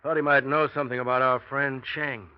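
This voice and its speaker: A man's voice: mid-range voice, little pitch movement, a little fast, clear, resonant tone, close to an everyday voice. A man playing a terse, probing American police inspector in 1940s radio acting. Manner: clipped, casual probing. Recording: muffled old radio recording.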